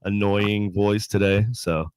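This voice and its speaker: A man co-hosting a paranormal podcast, chatting casually with a nasal, congested voice from a sinus cold, rambling and joking and giving animated shout-outs to viewers. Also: fairly low pitch, slow pace, smooth, no echo, faint music behind. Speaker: podcast co-host. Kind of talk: casual chat.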